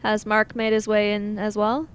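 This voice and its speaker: A woman's voice: level tone, moderate pace, clear, resonant tone. A woman narrating and role-playing her character in a tabletop role-playing game. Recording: low rumble and background noise.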